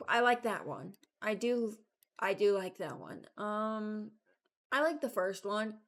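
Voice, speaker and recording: A woman's voice: mid-range voice, animated, slow pace, a bit bright. A woman giving casual, unscripted commentary as a video creator, hesitant and thinking aloud. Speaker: video creator ranking game voice lines.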